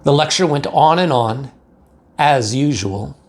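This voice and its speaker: A man's voice: medium pitch, animated, measured pace. An American man, a pastor, talking simply and directly to young children and narrating a picture book. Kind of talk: children's storybook read-aloud.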